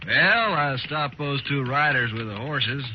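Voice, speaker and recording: An actor playing a sneering, trigger-happy renegade gunrunner in a 1950s radio western, with a taunting, folksy manner. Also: male voice, medium pitch, expressive, measured pace, close to an everyday voice. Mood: boastful. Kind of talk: 1950s radio drama acting. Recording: noisy, muffled old radio recording.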